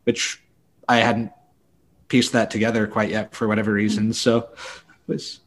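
A man co-hosting a podcast, discussing book news casually in a thoughtful, halting way with self-corrections. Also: lowish voice, little pitch movement. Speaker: podcast co-host.

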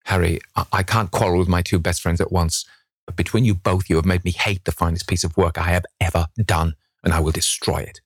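A man voicing a sensitive male painter, earnest and emotional, halting when upset, in a novel reading. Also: deep voice, steady tone, slightly rough, no echo, studio quality. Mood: bitter.

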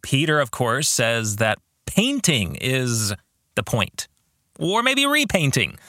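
A man hosting a reflective solo podcast on faith, speaking in an earnest, deliberate way with emphatic stresses on key words. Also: medium pitch, wildly swooping pitch, bright, forceful tone, no echo, studio quality. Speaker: podcast host and author.

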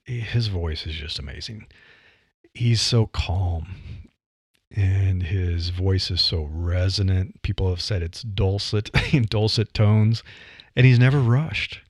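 Midwestern guy, middle-aged podcaster, chatty with lots of you-knows, low voice, bone dry close mic. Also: slow pace, slightly rough, no echo. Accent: Midwestern American. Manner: conversational, many "you know" fillers, light laughs. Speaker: podcaster and writer. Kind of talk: reflective monologue on voice delivery.

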